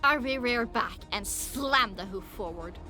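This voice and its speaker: A woman with a fairly high voice narrates an audiobook. Her reading is scripted, first-person and dramatic, and music plays softly behind her. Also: lively intonation, measured pace. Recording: music under the voice.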